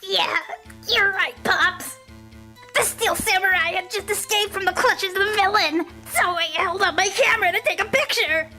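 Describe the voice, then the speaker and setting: A woman voicing a brash, excitable young boy witness in a fan-dubbed courtroom drama, with cheeky, slangy delivery. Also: very high voice, moderate pace, soft background music. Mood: sulky. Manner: cheeky, slangy, stammers when cornered. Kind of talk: fan dub courtroom game acting.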